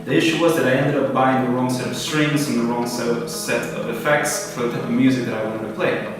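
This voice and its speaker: A young man from Peru, a startup founder, answering interview questions in a relaxed, thoughtful way with many fillers. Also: medium pitch, brisk. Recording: echoey room, far from mic, music behind.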